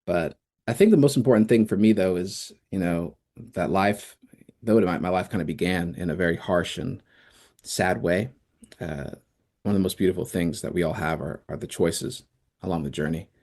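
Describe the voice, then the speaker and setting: A man raised in the American South, a musician guest in a radio studio interview, speaking thoughtfully and pausing often to search for words. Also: low voice, darkish tone.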